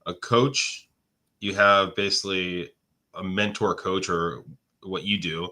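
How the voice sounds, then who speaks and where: A man's voice: low-pitched, little pitch movement, slow, sharp tone. A man hosting a podcast, asking hesitant, searching questions with many restarts. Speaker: podcast host. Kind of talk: podcast interview.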